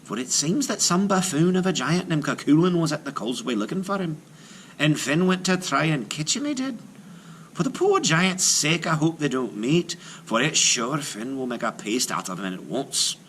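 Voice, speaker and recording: A man voicing a shrewd, bold wife in a folktale, brisk, coaxing and sure of herself. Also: a little high, expressive, fairly bright tone, a little hiss or hum, pitched above the performer's own voice. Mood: calm, sly. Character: shrewd, bold giant's wife, calm and teasing.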